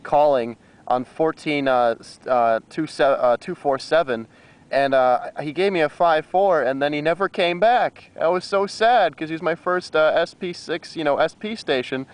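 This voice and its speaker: A man's voice: on the high side, moderate pace, clean tone. An American man chatting casually as an amateur radio operator, with a hesitant, rambling manner. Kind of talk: casual radio contact chat.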